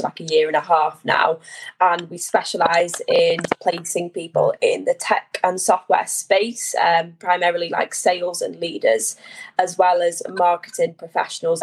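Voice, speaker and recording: Lowish-voiced woman in her 20s, chill and friendly, says like a lot. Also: breathy edge, poor audio. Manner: friendly and relaxed, uses "like" often. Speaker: guest. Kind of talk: casual interview chat.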